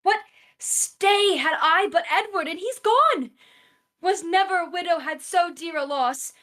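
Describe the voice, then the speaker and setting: A woman's voice: very high voice, unhurried, fairly bright tone. A woman playing a proud, anguished widowed queen in a Shakespearean radio play, lamenting in declamatory verse.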